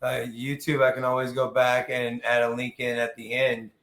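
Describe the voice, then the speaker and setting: A man's voice: mid-range voice, completely flat delivery, normal speed, a bit bright. A man in his early forties hosting a casual interview, talking in an informal, slangy, rambling way. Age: early 40s. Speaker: podcast host. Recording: echoey, roomy sound.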